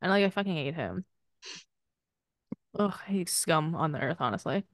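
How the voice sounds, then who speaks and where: A woman's voice: low-pitched, expressive, moderate pace, dry recording, studio quality. Laughing, swearing woman co-host. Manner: swearing, laughing often, rambling. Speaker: podcast co-host. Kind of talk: casual chat.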